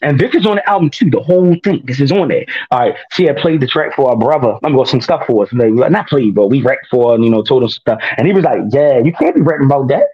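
A man's voice: mid-range voice, fast, hardly any pauses, husky, dull audio. A man, a rapper telling his story in a casual interview and often laughing at himself. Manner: laughs often, self-mocking, lively. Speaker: guest rapper.

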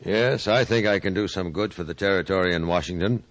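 A gentle, well-spoken gentleman in a 1950s radio Western. He has a somewhat low American voice and a quiet, modest, educated manner. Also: brisk, soft background music. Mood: modest, wry.

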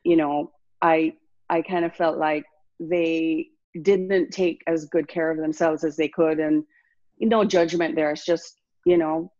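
A woman's voice: deep voice, flat delivery, darkish tone, no room sound, highs cut off. A woman in her sixties from Jamaica with a Jamaican accent, a wellness coach reflectively telling her life story as an interview guest. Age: 60s. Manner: reflective, unhurried, many fillers and restarts.

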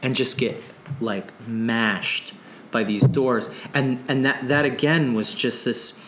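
Man, author interview guest, restarts often, mid-pitched, slight background noise. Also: slow. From Nova Scotia, Canada. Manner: rambling, many restarts, animated when excited. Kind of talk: conversational interview.

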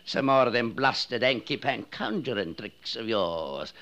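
A pompous village constable lays down the law slowly and officiously. His tone is sharp and bright, and his pitch rises and falls freely. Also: expressive, lo-fi sound. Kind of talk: 1950s radio drama acting.

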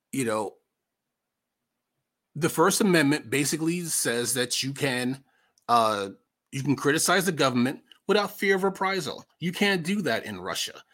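A man's voice: medium pitch, a bit bright. A man with an American accent giving casual, opinionated political commentary as a podcast host, conversational and emphatic, repeating himself for effect.

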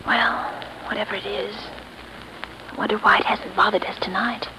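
A woman's voice: medium pitch, fairly even intonation, moderate pace, close to an everyday voice. An actress playing a sleuth's polite, anxious young female companion in 1930s radio style. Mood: uneasy. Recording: noisy vintage 1930s radio recording.

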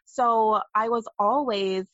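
A woman guest on a podcast telling personal stories with animated, playful asides. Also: a little high, slow, no room sound, highs cut off. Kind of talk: candid interview conversation.

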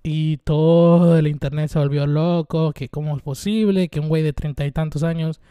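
A young Mexican man in his twenties rambles through a solo show, dropping swear words and fillers as he goes. His voice sits a bit high but sounds mellow and smooth. The recording is dry and close, with no room around him. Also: fairly even intonation, normal speed, no room sound. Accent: Mexican Spanish. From Mexico (Veracruz). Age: 20s. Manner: rambling, irreverent, swears freely, many fillers. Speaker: podcast host. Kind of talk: casual solo monologue.

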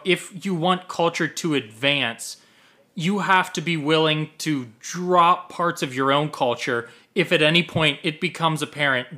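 A man argues his side in a casual podcast discussion. His voice is slightly high and bright. He lays out his points in a measured, deliberate way.

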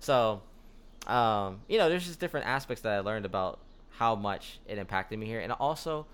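Laid-back young man with a low voice. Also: normal speed, clear, resonant tone. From United States. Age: young adult. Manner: relaxed, rambling storyteller with frequent fillers. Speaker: podcast guest. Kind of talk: casual conversation.

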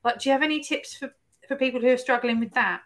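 A woman's voice: high voice, quick pace, a bit bright. A woman hosting a podcast interview, chatty and reflective, sharing her own stories. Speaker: podcast host.

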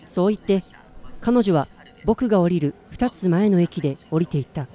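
A man's voice: high voice, lively intonation, moderate pace, mellow. An actor playing a listless, self-doubting man in his twenties in a radio drama, plain-spoken in narration and dialogue. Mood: reflective, subdued. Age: 20s.

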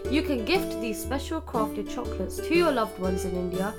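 A woman's voice: a little high, lively intonation, moderate pace. A woman reading a scripted sponsor message as a podcast announcer. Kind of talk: scripted ad read. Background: instrumental music.

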